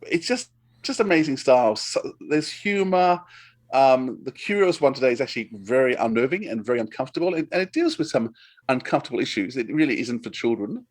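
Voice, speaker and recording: Male podcast co-host, mid-pitched, casual, enthusiastic and a bit rambling. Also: sing-song, brisk. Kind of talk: casual chat recommending audio dramas.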